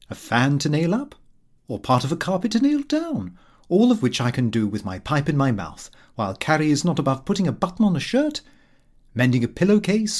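A man's voice: medium pitch, darkish tone. A man voicing a prim, pompous, middle-aged Victorian city clerk reading his diary. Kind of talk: audiobook narration of a comic diary.

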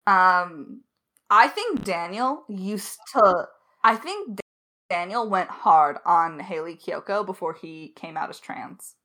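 A woman's voice: fairly low pitch, animated, slow, sharp tone. A woman chatting casually as a podcast co-host, giggly, effusive and slangy. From Alberta, Canada. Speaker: podcast co-host, audio drama creator. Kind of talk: casual chat, answering listener questions.

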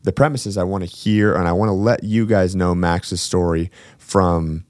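A man's voice: low-pitched, steady tone, moderate pace, darkish tone, dry recording, clean studio sound. A man hosting a podcast, interviewing a friend in a laid-back, teasing way. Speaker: podcast host. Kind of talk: casual interview.

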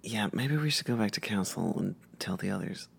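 A woman playing a tabletop character, quick and joking. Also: rumbling low, animated, creaky, a little hiss or hum. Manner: quick, joking, lots of laughter. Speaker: player. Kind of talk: in-character roleplay at a tabletop game.

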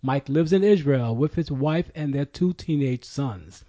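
Mellow-voiced man hosting a podcast. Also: mid-range voice, a little slow. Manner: reads guest intros, friendly questions. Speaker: podcast host. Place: New York. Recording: dull lo-fi audio.

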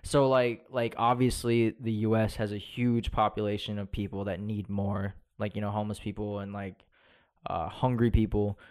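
A man's voice: fairly low pitch, little pitch movement, normal speed, darkish tone, smooth. A young man co-hosting a podcast, talking loosely and riffing with plenty of swearing. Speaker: podcast co-host. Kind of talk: casual podcast chat.

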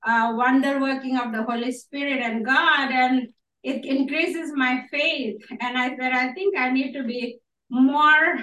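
A woman from Indonesia speaks as a guest pastor, telling her story with frequent laughter and amens. Her voice is high and bright. She is picked up from some distance in a roomy, echoing space. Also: fairly even intonation, a little slow, a little hiss or hum. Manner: warm, laughing, affirming with amens. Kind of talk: testimony at a church gathering. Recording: roomy echo, mic from afar.

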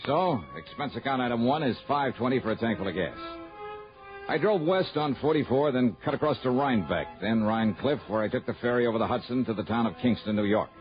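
A man's voice: mid-range voice, expressive, brisk, faint music behind, natural voice. An actor playing a wry, easygoing insurance investigator, telling his case conversationally in a 1960s radio drama. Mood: dry storytelling. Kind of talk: 1960s radio drama, first-person detective narration. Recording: dull old radio recording.

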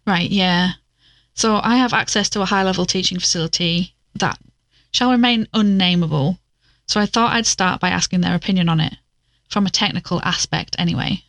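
A woman's voice: fairly low pitch, normal speed, a bit bright, no room sound. A woman playing an amateur investigator and podcast co-host, chatty and explanatory, in an audio drama. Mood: conversational, curious.

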